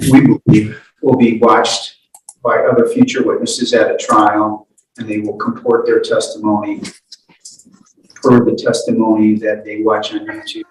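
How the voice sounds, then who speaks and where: Distant, echoey man arguing haltingly in court. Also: lowish voice. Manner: hesitant, repeats words, deferential. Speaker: defense attorney. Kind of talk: formal but halting courtroom argument. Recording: echoey courtroom, distant mic, background noise.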